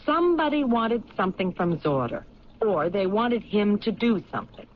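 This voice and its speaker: A persistent female police lieutenant played by a radio actress, probing and deliberate, with a mid-pitched, animated voice. The sound is dull vintage radio with faint noise. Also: close to an everyday voice. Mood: pensive, reasoning. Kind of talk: old radio drama acting. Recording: muffled, noisy 1970s radio recording.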